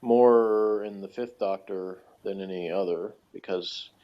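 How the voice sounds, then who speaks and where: A man with a low-pitched voice talks through a review in casual chat. He rambles and hesitates, often letting thoughts trail away. The recording is dull and lo-fi. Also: unhurried. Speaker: podcast reviewer.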